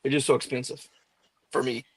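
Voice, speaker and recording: A man's voice: medium pitch, little pitch movement, a little fast, slightly rough. A man talking casually and informally in a group conversation.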